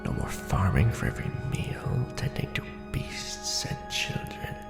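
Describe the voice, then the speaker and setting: A man playing a courtly, brooding vampire lord in an ASMR roleplay, speaking formally and deliberately in a hushed, breathy, gravelly voice. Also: natural voice. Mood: persuasive, hushed. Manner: formal, hushed, deliberate, theatrical aristocratic address. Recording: roomy echo under background music. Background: music.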